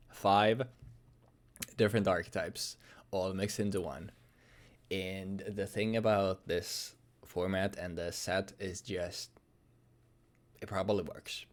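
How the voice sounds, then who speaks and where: Hoarse man with a gravelly low voice. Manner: loose and rambling, with many fillers. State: hoarse, recovering from illness. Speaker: card game YouTuber. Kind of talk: casual deck breakdown.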